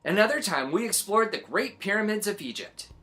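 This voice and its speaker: A woman reading a story aloud to young children in an animated, expressive way, adding little exclamations. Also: mid-range voice, fairly quick. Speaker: children's story reader and host.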